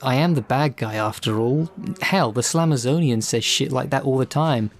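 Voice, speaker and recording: A man's voice: medium pitch, normal speed, natural voice. A man playing a terse, defensive villain in an audio roleplay, talking with indignation. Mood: indignant, defensive. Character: curt, indignant male villain performer.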